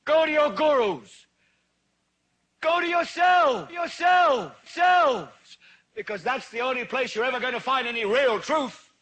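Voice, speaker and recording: An actor playing a furious, prophetic TV news anchor, ranting in oratorical, repeating cadences like a zealot in a film monologue. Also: male voice, very high-pitched, fairly even intonation, a little fast, hardly any pauses, pushed and bright. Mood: fiery preaching.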